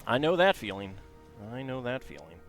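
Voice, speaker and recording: A man's voice: medium pitch, big pitch swings, moderate pace, fairly bright tone, soft background music. A man casually chatting to his viewers while building a Lego set, reading facts aloud and talking to chat.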